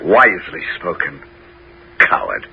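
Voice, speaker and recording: A man's voice: sits low, expressive, unhurried, pushed and bright, a little hiss or hum, full character voice. An actor playing a heroic king who has come home in disguise as a beggar, in a 1970s radio drama, with a commanding, declamatory delivery. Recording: dull 1970s radio broadcast.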